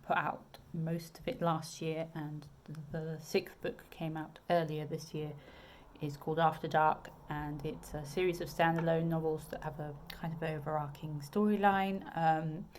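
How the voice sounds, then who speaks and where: A woman's voice: low-pitched, flat delivery, measured pace, a little mellow, a little hiss or hum. A woman with a southern English accent, a romance author chatting casually to camera about her books, with many ums and restarts. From England.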